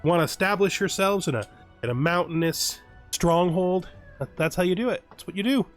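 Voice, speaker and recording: A man with a somewhat high voice hosts a roleplay let's play of a strategy game. He moves between dramatic readings of the game's text and loose, casual remarks to the audience. Faint music plays underneath. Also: brisk.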